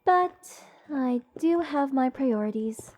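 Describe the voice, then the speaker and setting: A woman's voice: sits high, lively intonation, a little mellow, slight background noise. A woman playing a gushing, eccentric mage besotted with cursed creatures, in a rambling, whimsical fantasy ASMR roleplay addressed to the listener. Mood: dreamy, fond. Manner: slow, rambling, doting, theatrically whimsical. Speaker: voice actor.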